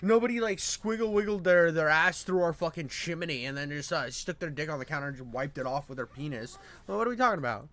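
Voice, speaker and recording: Dude just rambling casually, lots of swearing and slang, kinda high voice. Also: male voice, lively intonation, quick pace, few pauses, fairly bright tone, dry recording. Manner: slangy, sweary, laid-back bro talk. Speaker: commentator. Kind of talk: unscripted casual chat to viewers.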